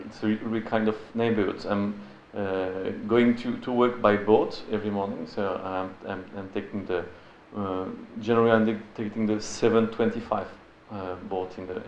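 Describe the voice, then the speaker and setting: Low-voiced man in an echoey room. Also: lo-fi sound. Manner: halting, searching for words. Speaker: interviewee, local resident. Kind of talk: casual interview talk. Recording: roomy echo with faint background noise.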